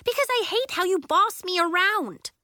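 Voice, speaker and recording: Woman as an upset, defiant high-voiced young girl. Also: monotone, takes it slowly, a bit bright, no room sound, studio quality, heavily acted. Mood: exploding. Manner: defensive, emotional. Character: upset, defiant fifth-grade girl, easily overwhelmed.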